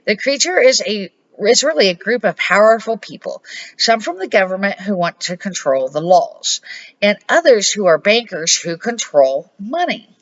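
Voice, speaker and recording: A woman's voice: medium pitch, sing-song, moderate pace, dull audio. An older woman reading the part of a patient old grandfather who explains things emphatically in a children's storybook. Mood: patient explaining. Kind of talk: storybook read-aloud.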